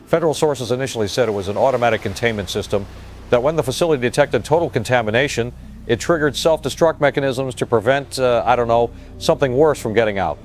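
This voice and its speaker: A man's voice: mid-range voice, fast talker, a little hiss or hum, lightly acted. A man playing a grave, shaken field reporter giving a hesitant eyewitness report in an audio drama. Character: shaken male field reporter at disaster scene.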